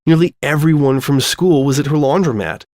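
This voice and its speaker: A man's voice: fairly high pitch, a little fast, darkish tone, dry recording, studio quality. A man reading a scripted comic story as narrator, telling it expressively. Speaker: fan fiction narrator.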